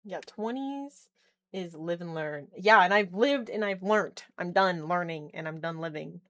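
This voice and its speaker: A woman's voice: fairly low pitch, a little slow, fairly bright tone. A woman in her thirties chatting casually on a live stream, laughing often and reading chat aloud while she tinkers with a keyboard at home. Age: 30s. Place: indoors at home, working on a keyboard.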